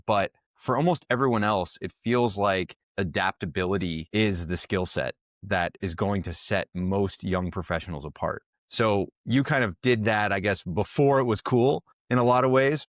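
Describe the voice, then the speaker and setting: A low-pitched man hosts a podcast, talking with enthusiasm and clear, articulate phrasing. His voice is recorded dry and close, with no room echo. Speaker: podcast host and investor. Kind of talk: podcast interview.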